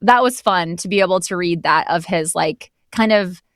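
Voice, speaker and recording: Low-pitched woman chatting as interview guest. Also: moderate pace, no echo, studio quality. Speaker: guest, audio drama narrator. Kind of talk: casual conversational interview.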